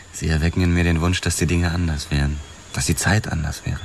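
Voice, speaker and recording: A policeman of thirty-two, once a boxer, in a radio drama. His deep voice is understated and laconic, with a wistful streak. A little background noise sits under the recording. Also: flat delivery, normal speed, creaky. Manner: terse, dry, understated. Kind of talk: radio drama dialogue and first-person narration.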